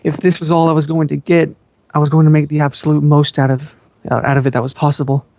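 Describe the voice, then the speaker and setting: A man's voice: fairly high pitch, flat delivery, fast, vocal fry, a little mellow, no echo. A man giving an amateur, melodramatic reading of story narration, occasionally tripping over words. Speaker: fan fiction reader. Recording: dull, dry lo-fi home recording.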